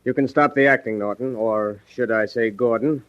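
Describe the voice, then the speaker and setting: An actor playing a stern, world-weary police lieutenant in 1940s radio drama style. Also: male voice, medium pitch, normal speed, a bit bright, no room sound, natural voice. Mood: stern, matter-of-fact. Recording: dull, dry 1940s radio recording.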